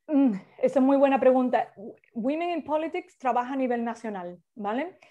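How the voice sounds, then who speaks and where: A woman's voice: high voice, expressive, normal speed, warm tone, lo-fi sound. A woman leading a workshop, explaining at length in an informal way, with many hesitations and restarts, over a video call. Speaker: workshop facilitator.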